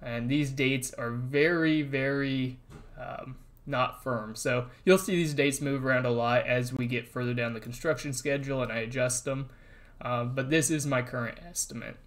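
A man narrating a casual voice-over update on a construction project, explaining relaxed and plainly with frequent ums and uhs. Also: medium pitch, normal speed. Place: voice-over, not recorded on site.

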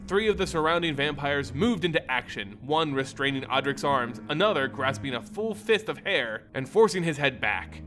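A man giving a dramatic scripted narration of a fantasy tale in a theatrical, expressive manner. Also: a little high. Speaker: storyteller.

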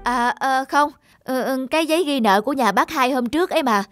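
A woman voicing a worried, hard-working rural mother in a cartoon, gently chiding and plain-spoken. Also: high voice, a little fast, no echo, studio quality, natural voice. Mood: flustered, subdued. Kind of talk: animated drama dubbing. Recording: music under the voice.

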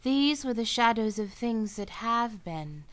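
Woman as solemn, high-voiced ghost of the past. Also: animated. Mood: stern, relentless. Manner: solemn, deliberate, commanding. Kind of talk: radio play acting.

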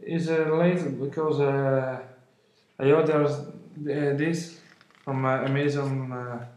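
A man in his twenties from Italy, speaking English with an Italian accent, unboxing an album at home, halting with many fillers and apologies. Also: medium pitch, little pitch movement, a little mellow, reverberant, rough recording. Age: 20s. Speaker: vlogger. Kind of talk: casual unboxing vlog. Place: room at home, indoors. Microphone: webcam at arm's length.

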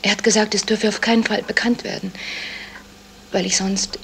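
A troubled young heiress in a seventies radio mystery. Her medium-pitched voice is earnest and hesitant, like someone confessing. There is faint noise in the old recording. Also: flat delivery, moderate pace, vocal fry, fairly bright tone. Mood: distressed, confessing. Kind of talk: 1970s German radio crime drama acting.

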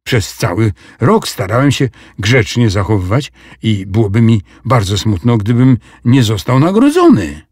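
A man's voice: fairly low pitch, sing-song, normal speed, hardly any pauses, darkish tone, husky, no echo, studio quality. A man voicing a naive young schoolboy with an earnest manner in a children's audio story. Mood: worried.

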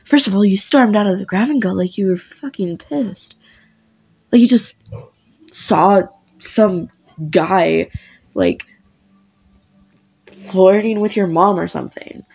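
A man's voice: high-pitched, takes it slowly, vocal fry, long pauses, a little mellow, breathy edge, full character voice, rough recording. A woman voicing an angry, pushy young male best friend who swears and confronts. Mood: insistent.

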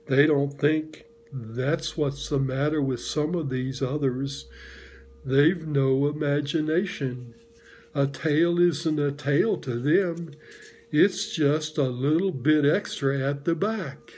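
A man voicing a gloomy old donkey in a storybook reading, speaking in a slow, sorrowful drawl. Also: on the high side, a little mellow, husky.

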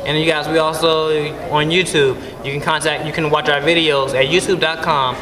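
A man's voice: a little high, little pitch movement, normal speed, reverberant, a crowd in the background, rough recording. A teenage boy reading school announcements as student news anchor. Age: teens. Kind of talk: school announcements read aloud. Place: school gym, indoors.

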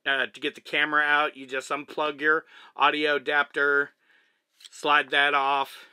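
A man in his thirties with a Texan accent explains his camera rig step by step, relaxed and chatty. His voice is bright and sharp. It is recorded dry and close inside a small truck cab. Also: on the high side, a little slow, clear, resonant tone, no room sound. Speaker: vlogger. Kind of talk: casual gear demonstration. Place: small living cabin of a truck, indoors.

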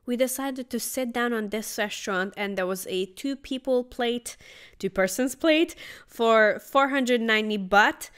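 A woman's voice: a little high, measured pace, fairly bright tone, smooth. A woman travel vlogger chatting casually about market food as she tastes it. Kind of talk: casual travel vlog narration. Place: outdoor night market.

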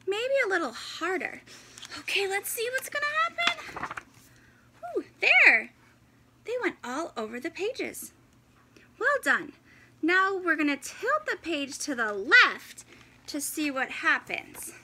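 Casting: woman in her thirties, children's story-time reader, very high lively voice, encouraging and exclaiming, noisy home-style recording. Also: slow pace, pauses often. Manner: animated, exclaiming, encouraging, with audible gasps. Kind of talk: interactive children's picture book read-aloud. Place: indoors, in front of a fabric backdrop.

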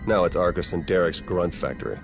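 Game ally, male spy; low, dry and casual. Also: fairly quick. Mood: wry. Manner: casual and dryly sarcastic. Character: wry, easygoing male spy. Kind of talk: video game voice acting. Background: loud game music.

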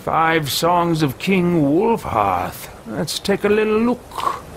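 A man's voice: high voice, lively intonation, slow, slight background noise, exaggerated voice. A man playing a pompous, arrogant mage. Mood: haughty. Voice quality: pompous, grandiose. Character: arrogant, self-absorbed male mage adventurer. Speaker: let's play host roleplaying a character. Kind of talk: in-character roleplay over a video game. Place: room at home, indoors.

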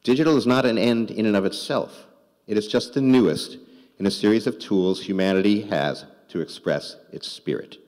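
A man's voice: fairly low pitch, moderate pace, a little mellow. An older man delivering a scripted commencement address as keynote speaker. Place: large indoor auditorium. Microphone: podium microphone. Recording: reverberant hall, podium microphone.

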